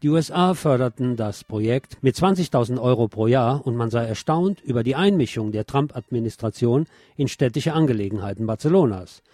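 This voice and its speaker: A man's voice: mid-range voice, expressive, a little fast, dark tone, no echo. A man presenting scripted news with commentary, explaining items in an opinionated way.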